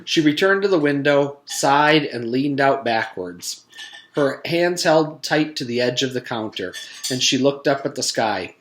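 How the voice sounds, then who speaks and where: A man's voice: medium pitch, animated, a little slow, fairly bright tone, poor audio. A man, a teacher, reading a storybook aloud.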